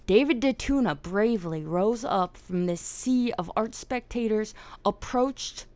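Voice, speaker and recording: A woman's voice: medium pitch, lively intonation, unhurried, a little mellow, no room sound. A woman hosting a casual live-streamed discussion, swearing often and laughing as she tells the story. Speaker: podcast host.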